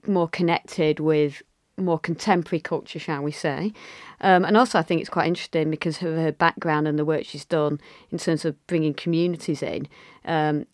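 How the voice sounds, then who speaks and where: A woman's voice: deep voice, no echo. A woman conductor, a guest in a radio studio, chatting with enthusiasm and wandering sentences. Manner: enthusiastic, rambling with fillers. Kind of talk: casual chat.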